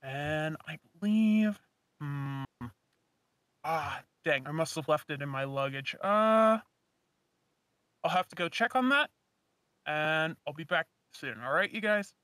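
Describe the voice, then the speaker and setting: Man playing bright, eager young dragon slayer. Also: a little high, expressive, takes it slowly, long pauses, dry recording, full character voice. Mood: upbeat. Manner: casual, chatty. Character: eager, easygoing young male fire dragon slayer mage. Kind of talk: improvised fantasy game roleplay.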